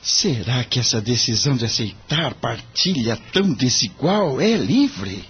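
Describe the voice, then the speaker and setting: Earnest, husky young Brazilian lawyer. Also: male voice, medium pitch, big pitch swings, unhurried, fairly bright tone, muffled recording, heavily acted. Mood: troubled. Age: young adult. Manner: formal, earnest, questioning. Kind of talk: audio drama acting.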